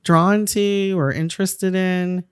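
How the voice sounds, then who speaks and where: A woman's voice: lowish voice, steady tone, takes it slowly, smooth, no room sound, studio quality. A woman hosting a podcast, reading a scripted introduction and interviewing a guest with short, attentive affirmations. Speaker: podcast host.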